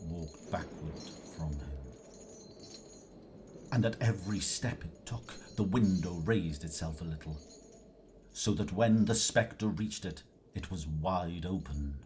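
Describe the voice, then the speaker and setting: A man narrating a scripted literary audio drama in a measured storytelling manner. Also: sits low, noisy background.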